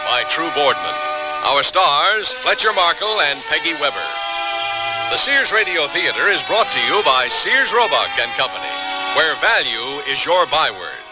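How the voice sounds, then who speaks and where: A male radio announcer reads formal credits. His voice is rather high and very varied, set over clear music. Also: big pitch swings, measured pace, rough recording.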